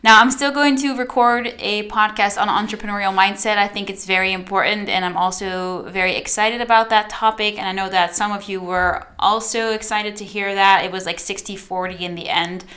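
A young woman from the Netherlands, a native Dutch speaker, hosts her solo podcast with a bright, clear tone. She chats loosely and directly to her listeners, with plenty of fillers. Her pitch sits in a middle range for a woman. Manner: chatty, addresses listeners directly, many fillers. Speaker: podcast host and social media coach. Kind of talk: casual solo podcast monologue.

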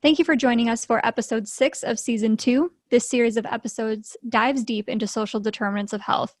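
A woman hosts an interview podcast in a friendly, affirming way. Her voice is slightly high. The sound is dry and close. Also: no echo. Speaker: podcast host.

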